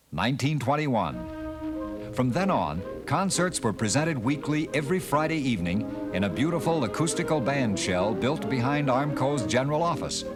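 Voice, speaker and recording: A man narrating a documentary in a formal, scripted way. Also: mid-range voice. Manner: formal, lively delivery of dates and facts.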